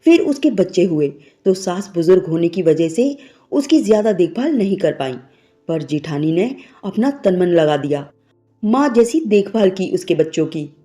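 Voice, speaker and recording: A woman's voice: lowish voice, animated, normal speed, dark tone. A woman narrating a scripted, sentimental moral story as a storyteller. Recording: music bed behind the voice. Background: background music.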